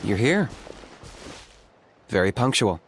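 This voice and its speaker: An actor playing a cool, reserved young hunter in a video game, with understated, dryly wry delivery. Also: male voice, fairly low pitch, slow pace, faint music behind. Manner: deadpan, understated.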